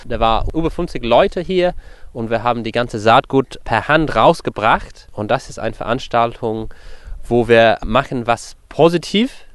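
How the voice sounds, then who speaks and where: Male farmer, English accent, non-native phrasing, mid-pitched voice, informal explaining. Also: no room sound. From England. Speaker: farmer in charge of pigs and crops. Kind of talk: interview answers and informal instructions to a group.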